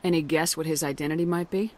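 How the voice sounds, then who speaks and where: A woman playing a brisk, direct, no-nonsense military commander in a sci-fi video game. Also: sits low, steady tone, a little mellow. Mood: direct, businesslike. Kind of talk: video game character dialogue.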